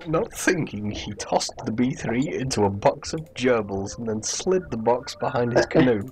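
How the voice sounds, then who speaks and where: A man's voice: medium pitch, animated, normal speed, darkish tone, low-quality recording. A man narrating a comic improvised story while gaming, with a playfully dramatic delivery. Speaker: storyteller and game player. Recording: hobby internet recording with clear noise.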